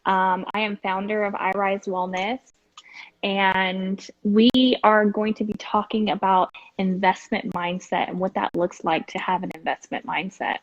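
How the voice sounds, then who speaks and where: A woman's voice: mid-range voice, flat delivery, a little slow. A woman hosting an online interview over a video call, who asks short questions and encourages her guest. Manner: encouraging, brief affirmations. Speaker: host. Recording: dull dry video-call audio.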